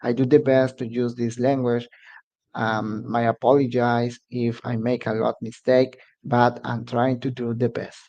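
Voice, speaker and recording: A man's voice: mid-range voice, flat delivery, a little slow, a little mellow, dull audio. A man from Mexico whose first language is Spanish, speaking English with a Mexican Spanish accent as he hosts a casual live stream and halts to search for words. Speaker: immigration advice channel host.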